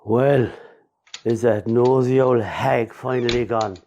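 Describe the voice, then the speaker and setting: A man's voice: medium pitch, fairly even intonation, takes it slowly, dark tone, lo-fi sound, slight background noise. Actor as grumpy, grieving older Irish father. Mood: irritated. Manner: gruff, sardonic, sweary. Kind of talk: radio play acting.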